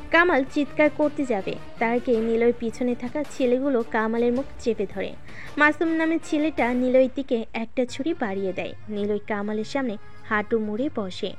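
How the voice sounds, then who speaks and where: A woman narrates a scripted audio story. Her voice sits fairly high, and she tells it steadily and dramatically over faint background music. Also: moderate pace.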